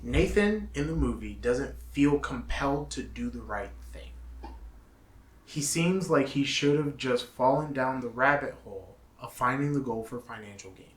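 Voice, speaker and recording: A man co-hosting a casual movie review, opinionated and emphatic, halting with pauses as he talks and reads from notes. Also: mid-range voice, expressive, roomy sound. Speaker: show co-host.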